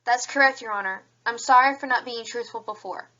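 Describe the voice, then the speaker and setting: Woman acting a high-voiced rabbit police officer. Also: brisk, pushed and bright, highs cut off. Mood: solemn, apologetic. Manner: careful, formal testimony. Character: earnest, defensive female rabbit police officer. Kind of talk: fan audio drama acting.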